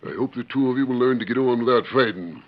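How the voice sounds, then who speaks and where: A man's voice: mid-range voice, fast, natural voice. An actor playing a stern, fair-minded older ranch owner and father in a 1940s radio western, speaking firmly and plainly. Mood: stern, fatherly. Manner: firm, commanding, plain-spoken. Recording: muffled, noisy old 1940s radio recording.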